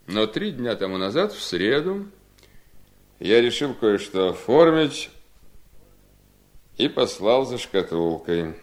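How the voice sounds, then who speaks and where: An actor playing a bitter, resolute husband in a Soviet seventies radio drama, speaking in a deliberate, ironic, emphatic way. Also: male voice, on the low side, sing-song. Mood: grave, insistent. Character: bitter, resolute husband coolly punishing his scheming wife.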